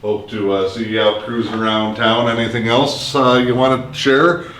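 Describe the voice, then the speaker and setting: A man hosting a casual local interview, with halting, hesitant phrasing. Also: mid-range voice, little pitch movement, a bit bright, slight background noise. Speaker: host and interviewer. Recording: roomy echo, music underneath.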